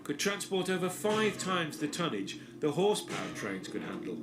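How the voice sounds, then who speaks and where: A man giving scripted documentary narration in a measured, storytelling delivery. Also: on the high side, sing-song, noisy background. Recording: narration over music from a played video.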